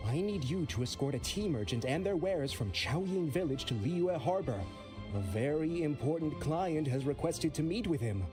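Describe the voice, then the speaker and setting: Man as game agency boss giving out jobs, highish voice, lively but formal, music under. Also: moderate pace, close to an everyday voice. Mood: businesslike. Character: polite businesslike male agency boss handing out commissions. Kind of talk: English video game voice acting.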